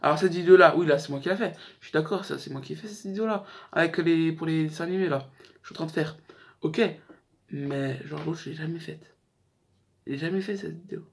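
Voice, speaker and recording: Young guy doing a youtube list, voice a bit high, jokes around, slangy and rambly. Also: a little fast, gravelly. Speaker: YouTube host. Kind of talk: casual chat to camera, countdown list.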